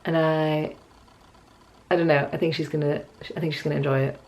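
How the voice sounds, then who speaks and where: A woman's voice: sits low, flat delivery, brisk, darkish tone, a little hiss or hum. A woman, chatting casually and hesitantly to camera in a wry, musing way. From Newcastle area, north-east England. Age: 20s. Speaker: vlogger. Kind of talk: casual, rambling chat to camera. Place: small furnished bedroom at home, indoors.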